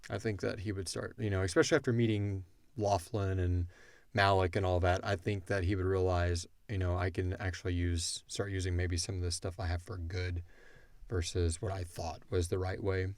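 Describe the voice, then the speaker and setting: A man's voice: sits low, moderate pace, no room sound. A man hosting a podcast, casually discussing a story and acting out bits of dialogue as he retells it. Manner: animated retelling, acts out characters' lines. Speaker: podcast host and audio drama creator answering listener questions.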